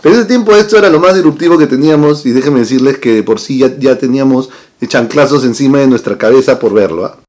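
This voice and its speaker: A man, chatting casually to camera as a vlog host in a room full of toy shelves, lively and full of tag questions. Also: medium pitch, quick pace. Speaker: channel host. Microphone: fixed camera at desk distance.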